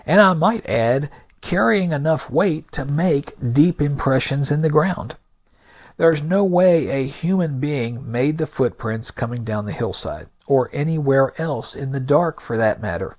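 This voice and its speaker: A man hosting a podcast with scripted narration, delivered in a deliberate, emphatic, argumentative manner. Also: a little high, lively intonation. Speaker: podcast host.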